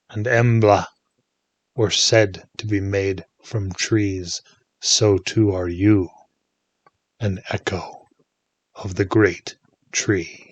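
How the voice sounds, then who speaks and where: A man leading a guided meditation in a soothing, hushed manner, leaving long pauses between short phrases. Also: on the low side, husky, no room sound.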